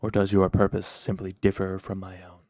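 A man voicing a hooded dark lord in a fantasy story, cool and controlled, speaking with slow, precise patience and quiet menace. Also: on the low side, vocal fry, dry recording, clean studio sound. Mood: cool warning. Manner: slow, patient, precise, sharpening to hard command.

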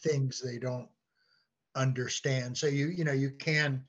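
A man speaking as a podcast guest in a halting, conversational way, often repeating words as he goes. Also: medium pitch, steady tone, slightly rough, lo-fi sound. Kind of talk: conversational discussion.

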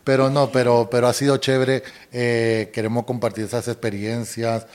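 A man's voice: medium pitch, normal speed. Easygoing colombian guy, middle-aged, light accent, chatting with his wife, lots of ehs and restarts. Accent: Colombian, softened. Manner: relaxed, rambling with restarts and fillers. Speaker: co-host. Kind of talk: casual couple's chat.

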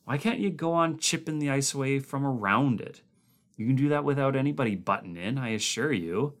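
A man's voice: on the high side, fairly bright tone, pitched above the performer's own voice. Man voicing a growling, heavy-set brooding man. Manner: growling, gruff.

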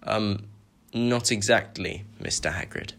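A man voicing a timid, polite young boy who speaks haltingly and hesitantly. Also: on the low side, gravelly, fairly bright tone, full character voice.

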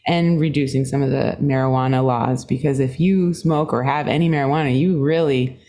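Low mellow-voiced animated woman. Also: a little fast. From Singapore. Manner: animated storyteller, pitch jumps up, laughs often. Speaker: guest musician, saxophonist. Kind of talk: conversational podcast interview.

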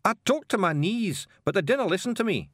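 A man voicing a bossy bearded stranger with a gruff, blustering Scottish accent. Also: high voice, big pitch swings, brisk, fairly bright tone, dry recording, clean studio sound, voice pushed up, exaggerated voice. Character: bossy bearded stranger, several little men.

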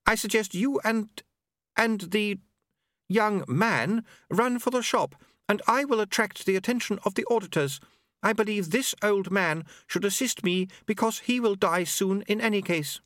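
A man voicing a brisk, irritable woman with a commanding, sarcastic classroom manner in a story. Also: high voice, fairly bright tone, dry recording, clean studio sound, pitched above the performer's own voice. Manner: snappish, commanding.

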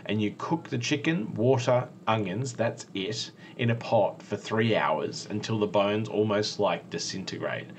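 A man's voice: lowish voice, measured pace, hardly any pauses, slight background noise. An Australian man co-hosting a casual food podcast. He talks enthusiastically and laughs often. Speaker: podcast co-host. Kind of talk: casual chat.

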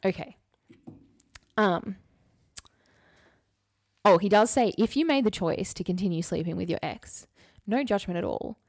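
A woman's voice: mid-range voice, animated, measured pace, long pauses, a little mellow, dry recording, studio quality. A woman co-hosting a podcast in casual chat, reading bits aloud with wry, candid asides. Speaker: podcast co-host.

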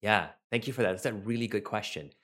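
Laid back guy from venezuela, spanish native, lowish voice, hosting a chat and taking questions, lots of ums. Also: quick pace. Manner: relaxed, rambling with fillers and restarts. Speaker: talk host and researcher. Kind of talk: casual online talk, taking questions.

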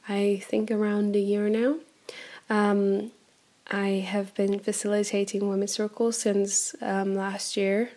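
A woman's voice: mid-range voice, darkish tone. Brief: twenties woman, German native speaker, candid rambling host, phone-recorded. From Germany, raised in Portugal. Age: 20s. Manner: chatty and candid, frequent ums and laughs. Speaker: podcast host. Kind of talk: unscripted solo podcast. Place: indoors, sitting on the floor.

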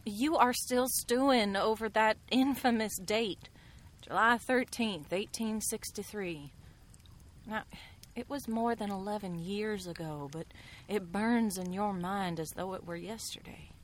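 Woman acting a frank, mid-pitched frontier woman. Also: measured pace, slight background noise, natural voice. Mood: intense, probing. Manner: earnest, direct. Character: frank resolute frontier woman, the fugitive's companion. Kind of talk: radio drama acting.

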